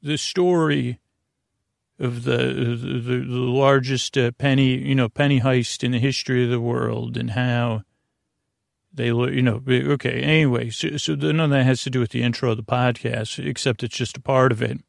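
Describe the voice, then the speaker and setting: A gravelly man with a mid-pitched voice hosts a bedtime podcast, recorded close and dry. He meanders in a halting, long-winded way, starting thoughts and wandering away from them. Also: fairly even intonation, no echo. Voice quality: creaky, gravelly. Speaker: podcast host. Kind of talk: rambling bedtime sleep podcast monologue.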